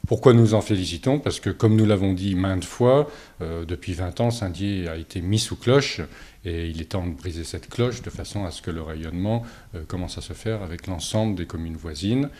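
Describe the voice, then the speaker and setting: Man, low voice, soft dark timbre, formal political statement, measured, hesitant, frequent filler pauses. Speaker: local opposition politician. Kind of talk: political statement to camera, semi-prepared.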